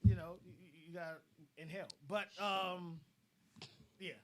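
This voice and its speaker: A man's voice: high-pitched, steady tone, measured pace, background noise. A man co-hosting a casual online discussion, giving blunt advice and often repeating words as he starts a thought.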